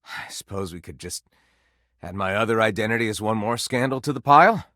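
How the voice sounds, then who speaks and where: A man voicing a video game character's gruff, plain-spoken true self, with blunt, colloquial, low-key delivery. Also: expressive, a little fast, sharp tone, no room sound, clean studio sound. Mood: bitter, resigned.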